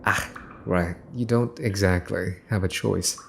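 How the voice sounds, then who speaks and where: A man playing a smug mafia boss's son in an ASMR roleplay, teasing and condescending as he makes cool, possessive threats with pauses for effect. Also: low voice, a little hiss or hum. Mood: teasing menace. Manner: teasing, smooth-talking, condescending, pausing for effect. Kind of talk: ASMR boyfriend roleplay, scripted monologue to listener.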